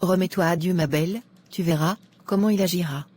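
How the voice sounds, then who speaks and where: A woman's voice: on the low side, a little fast, darkish tone, breathy edge. A woman playing a devout character in her thirties in a cartoon drama, speaking earnestly, like a kindly advisor. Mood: caring counsel. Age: 30s. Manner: earnest, counselling.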